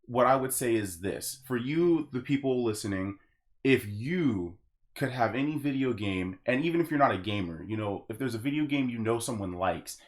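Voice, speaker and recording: Male podcast host, low voice, earnest and rambling, speaking casually to his audience. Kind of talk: casual podcast sign-off addressed to listeners.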